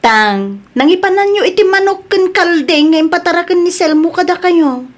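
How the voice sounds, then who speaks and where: A woman's voice: very high voice, expressive, normal speed. A woman with a northern Philippine accent playing an aggrieved adult daughter accusing her parents in an audio drama. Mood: tearful, angry.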